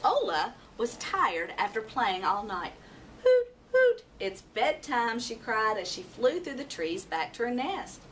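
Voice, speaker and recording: Lady doing an animated storytime read, highish voice, noisy distant mic. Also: a little slow, hardly any pauses. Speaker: storybook reader. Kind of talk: expressive children's story read-aloud.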